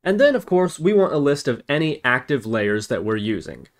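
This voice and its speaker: A man hosting a software tutorial, explaining methodically, step by step. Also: medium pitch, sing-song, moderate pace. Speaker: tutorial host. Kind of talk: scripted-feeling coding tutorial narration. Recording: noisy, with keyboard typing and clicks. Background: computer keyboard typing and mouse clicks.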